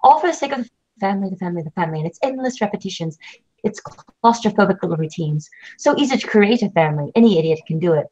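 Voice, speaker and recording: A woman's voice: medium pitch, lively intonation, fast, mellow, lightly acted. Woman playing an icy, condescending wife. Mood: scornful. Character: cold, cutting ambitious lawyer wife. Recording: dull lo-fi recording.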